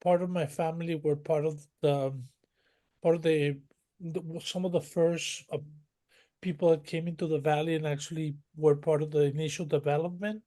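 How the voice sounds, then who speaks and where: A man speaks as an interview guest. His voice sits fairly high for a man. He winds through his memories in long, detailed stretches, doubling back and restarting his sentences as he goes. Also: steady tone, moderate pace, dark tone. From northern Mexico. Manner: rambling, detailed storytelling with many restarts. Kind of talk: conversational interview.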